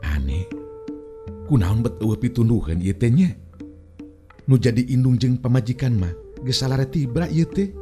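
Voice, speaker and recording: A man's voice: medium pitch, lively intonation, measured pace, long pauses, dark tone, soft background music, close to an everyday voice. A man voicing an uneasy young family man, the hero of an audio story, in a musing, questioning manner. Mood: puzzled, musing.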